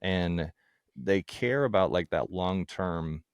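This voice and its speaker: A man speaking casually as an interview guest, candid and informal, thinking out loud as he goes. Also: deep voice, lively intonation, slow, clean tone, dry recording, clean studio sound. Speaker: guest, founder of a podcast production company.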